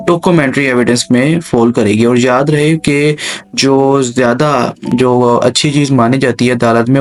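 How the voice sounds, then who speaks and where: A man with a Pakistani accent giving an explanatory lecture in simple terms, addressing listeners directly in an instructive way. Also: mid-range voice. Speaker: law lecturer and channel host. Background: background music.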